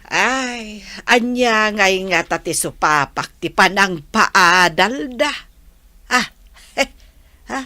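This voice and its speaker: An actress playing a pushy, pleading village mother with an Ilocano accent in a radio comedy-drama, full of drawn-out exclamations. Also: mid-range voice, lively intonation, sharp tone, no room sound. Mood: nosy, surprised.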